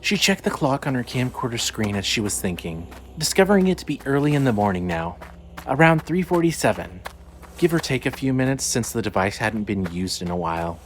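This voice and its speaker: A man's voice: medium pitch, sing-song, fairly quick. A man narrating scripted fiction dramatically as the narrator of a story. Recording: faint background noise and ambience.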